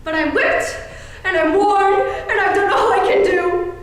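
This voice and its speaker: Very high, echoey, melodramatic aunt, played by a young woman. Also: unhurried. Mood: wounded, dramatic. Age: teenage or young adult. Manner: melodramatic, scolding. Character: fussy, theatrical aunt, former beauty queen. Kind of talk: high school stage play acting. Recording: echoey stage, distant camera, faint noise.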